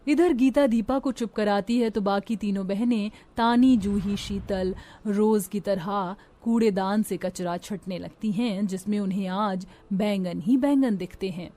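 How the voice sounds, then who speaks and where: A woman's voice: mid-range voice, measured pace, warm tone. A woman narrating a scripted dramatic story as a storyteller.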